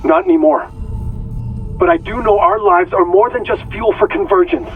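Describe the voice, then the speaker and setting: A man's voice: sits high, moderate pace, faint music behind. An actor playing a haunted, earnest male scientist in a sci-fi horror game, fervent and troubled. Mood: pleading, solemn. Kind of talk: video game voice acting. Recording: muffled radio transmission.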